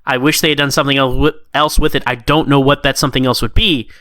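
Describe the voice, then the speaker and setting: Male podcast co-host, crisp and bright, close dry sound. Conversational critic who acts out thoughts. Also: mid-range voice, steady tone, quick pace, no room sound. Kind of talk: casual, conversational story critique.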